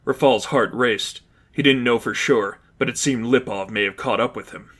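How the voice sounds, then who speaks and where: Mid-pitched man narrating a story. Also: moderate pace, fairly bright tone. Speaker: narrator reading fan fiction.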